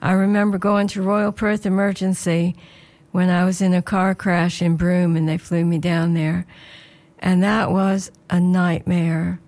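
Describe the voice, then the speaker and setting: Slightly hoarse woman presenter. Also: lowish voice, flat delivery, measured pace, darkish tone. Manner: earnest, prayerful, conversational. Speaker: radio presenter.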